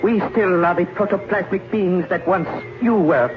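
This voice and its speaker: Man acting a cold, high-voiced alien invader. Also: music in the background, lightly acted. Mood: cold, explanatory. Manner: formal, deliberate speech without contractions. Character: cold, shape-shifting protoplasmic alien invader posing as a man. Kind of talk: 1950s radio drama acting. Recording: noisy, muffled old radio recording.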